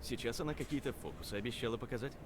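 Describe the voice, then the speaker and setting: An actor playing a curious, self-assured gentleman traveller in a visual novel detective game. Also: mid-range voice, racing, very noisy, close to an everyday voice. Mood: puzzled, casual.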